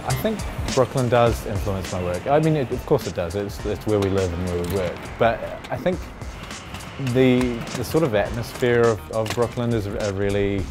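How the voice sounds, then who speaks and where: Young man, very low voice, reflective, lapel mic, faint music underneath. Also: moderate pace. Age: young adult. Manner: reflective, with frequent restarts and filler "uh". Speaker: designer, studio founder. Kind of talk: documentary interview. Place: large indoor design workshop.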